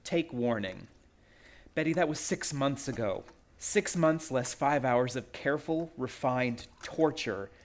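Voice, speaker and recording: A man's voice: medium pitch, animated, unhurried. An actor playing a proud, nerve-racked young man in a suspense radio play, earnest and tense. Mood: haunted, bitter.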